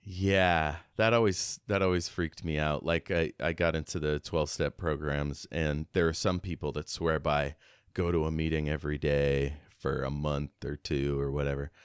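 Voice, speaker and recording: Deep, smooth-voiced man co-hosting a podcast. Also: level tone, normal speed, no room sound, clean studio sound. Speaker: podcast co-host. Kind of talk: casual book discussion.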